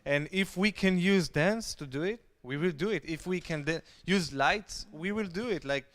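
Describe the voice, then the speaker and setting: A man's voice: fairly high pitch, normal speed, fairly bright tone. A man talking emphatically on a casual livestream, repeating phrases for stress.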